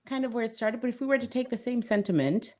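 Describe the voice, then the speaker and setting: A woman co-hosting a podcast in casual chat, speaking in an animated, emphatic way, often laughing and breaking off mid-thought. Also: a little high, fast. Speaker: podcast co-host.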